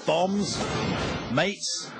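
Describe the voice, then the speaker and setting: A man's voice: sits high, animated, extremely slow, over loud music. A British man delivering scripted documentary narration in a wry, tongue-in-cheek way.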